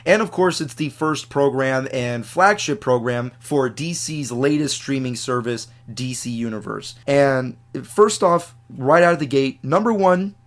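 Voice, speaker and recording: Hoarse man chatting through a review. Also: a little slow, hardly any pauses. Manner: chatty and rambling, big pitch swings on emphasis. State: hoarse, recovering from lost voice. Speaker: video reviewer. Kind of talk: casual spoken review to camera.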